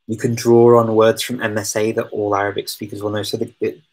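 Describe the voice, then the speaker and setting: A man's voice: fairly low pitch, flat delivery, fairly quick, a little mellow. A man answering viewer questions in a casual live chat, talking hesitantly with many ums and restarts. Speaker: language-learning streamer answering viewer questions.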